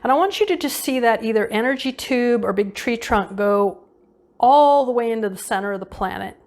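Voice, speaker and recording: A woman's voice: on the high side, animated, a little fast, a little mellow. A woman leading an unscripted guided visualization meditation, giving casual instructions and often repeating her phrases.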